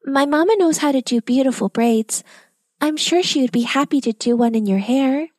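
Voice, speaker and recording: Lady putting on a sweet high little girl voice, polite, quick, dry sound. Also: dry recording. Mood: kind. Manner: polite and calm. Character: brave, kind young girl.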